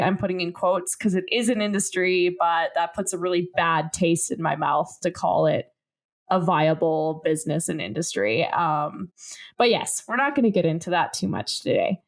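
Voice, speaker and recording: A woman's voice: lowish voice, normal speed. A young adult woman hosting a science podcast in casual chat, joking and explaining plainly. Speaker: podcast host.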